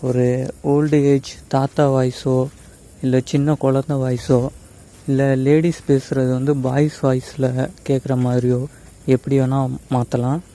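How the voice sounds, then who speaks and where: A man's voice: medium pitch, flat delivery, measured pace, dark tone, poor audio. A man gives a casual step-by-step tutorial, addressing viewers directly and mixing in English words. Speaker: tech tutorial host.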